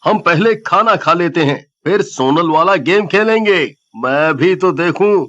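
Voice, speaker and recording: Man acting a cocky, high-voiced young sceptic. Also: animated, unhurried, a bit bright, dull audio. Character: cocky, mocking young man who scoffs at ghosts. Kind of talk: scripted Hindi audio story acting.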